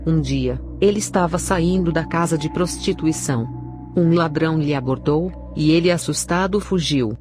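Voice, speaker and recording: A woman's voice: deep voice, moderate pace. A woman with a Brazilian accent reading a scripted narration of a religious testimony. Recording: clear background music under the voice. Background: music.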